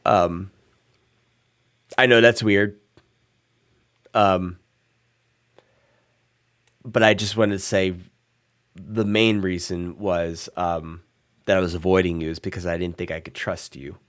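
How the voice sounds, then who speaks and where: A guarded male vampire in a tabletop game who rambles through his explanations, played by a man. The voice is very deep, hesitant and full of restarts. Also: steady tone, slow, long pauses, fairly bright tone. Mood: apologetic.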